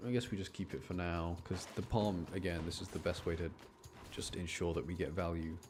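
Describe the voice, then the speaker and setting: A man's voice: low-pitched, steady tone, fairly quick, noisy background. A man doing casual live game commentary for his chat, rambling and thinking aloud as he plays. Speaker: game streamer.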